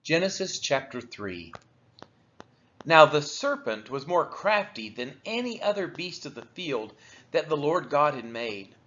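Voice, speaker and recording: A man reads scripture aloud in a somewhat high, very animated voice. His phrasing is deliberate and broken into short units. The recording is a little dull and lo-fi. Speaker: Bible reader for a church's daily read-along.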